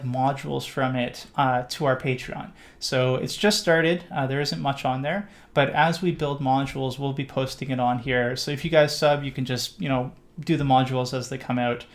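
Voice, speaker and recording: A middle-aged Canadian man hosting a live stream, answering viewer questions in a rambling casual way with lots of fillers. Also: fairly high pitch. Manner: rambling with many fillers and restarts. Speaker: livestream host.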